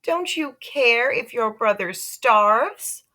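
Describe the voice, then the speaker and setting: An anxious storybook mother, played by a woman reading aloud. She coaxes and pleads with a lively voice that often lifts high. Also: slow pace, heavily acted. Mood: indignant. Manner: coaxing, pleading. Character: anxious, pleading mother coaxing her children.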